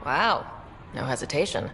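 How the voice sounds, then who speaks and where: A woman's voice: very deep voice, big pitch swings, moderate pace, creaky, fairly bright tone, long reverb tail, slight background noise, exaggerated voice. A woman playing a composed young explorer hero in a video game, direct and dry in delivery. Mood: probing, dry. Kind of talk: video game cutscene acting.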